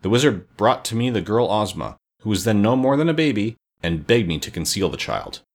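A sullen old witch, as a man reads her from a storybook. She is sly and evasive, giving up her words grudgingly with wide swings in pitch. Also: sing-song, brisk. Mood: reluctant confession. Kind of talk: storybook character voice.

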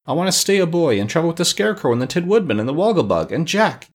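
A man voicing a plucky, nervous young boy in a storybook, plain-spoken and excitable. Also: fairly low pitch, fast. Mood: tearful protest. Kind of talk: storybook character voice.

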